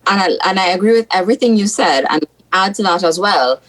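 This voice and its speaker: A woman's voice: mid-range voice, lively intonation, quick pace, a bit bright, poor audio. A woman from South Trinidad with a Trinidadian accent, a senator giving blunt, frank opinions as a guest on a political talk show.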